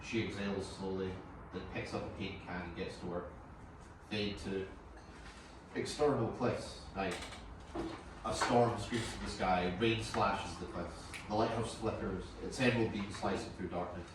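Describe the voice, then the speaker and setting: Deep-voiced man narrating in an echoey room. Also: steady tone, unhurried. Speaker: narrator reading stage directions. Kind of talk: scripted narration at a table read. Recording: distant echoey room, clear background noise.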